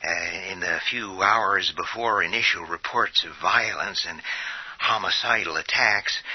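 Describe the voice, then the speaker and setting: A man playing a grave news announcer in a radio drama, reading an emergency bulletin in a formal, measured, hesitant way. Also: on the low side, husky, no room sound. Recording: dull lo-fi audio.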